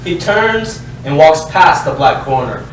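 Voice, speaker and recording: Slow male stage-directions reader, very echoey. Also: fairly high pitch, animated. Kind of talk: scripted narration at a staged reading. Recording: very echoey hall, distant mic, faint noise.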